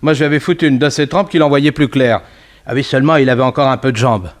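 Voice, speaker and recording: An actor playing a slow-witted, self-excusing henchman, sheepish yet callous, in a 1960s French radio crime drama. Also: medium pitch, fairly even intonation, natural voice. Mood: sheepish, flustered.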